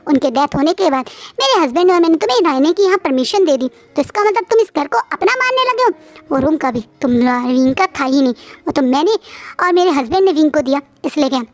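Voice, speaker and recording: A woman voicing a haughty, scheming wealthy woman who shouts accusations in a fit of fury. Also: high-pitched, lively intonation, fairly quick, dry recording. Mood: furious.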